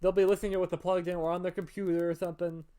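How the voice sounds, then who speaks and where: A co-host on a casual podcast, slipping in wry, deadpan jokes. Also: mid-range voice, very fast.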